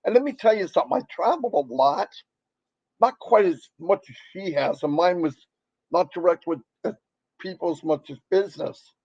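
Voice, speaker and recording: A man with a gravelly, crackly voice and a fairly high pitch delivers a rambling, folksy motivational pitch on a live stream. He keeps circling back to repeat his points for emphasis. The sound is dry and a little dull. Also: animated, normal speed, a little mellow, no echo.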